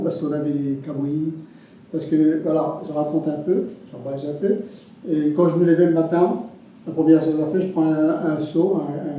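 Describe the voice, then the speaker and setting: Older Réunionese man, halting and dark-toned. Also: fairly high pitch, a little hiss or hum. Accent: Réunionese French. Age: 70s. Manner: halting, repeats words, many restarts and fillers. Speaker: interviewee telling his life story. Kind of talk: spoken testimony. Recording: distant mic, roomy, dull and lo-fi.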